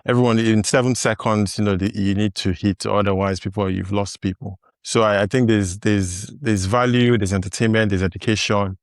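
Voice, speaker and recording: A man's voice: fairly low pitch, steady tone, moderate pace, hardly any pauses, no echo, studio quality. A man hosting a podcast in casual conversation, thoughtful, with many fillers and restarts. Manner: thoughtful, lots of fillers and restarts, laughs easily. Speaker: podcast host.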